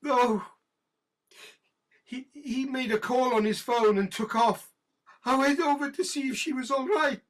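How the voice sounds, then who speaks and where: An actress playing an embittered, guilt-ridden middle-aged senior police detective with a British accent, halting and volatile in a naturalistic audio drama. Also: female voice, sits high. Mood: anguished confession. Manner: halting, bitter, emotionally volatile.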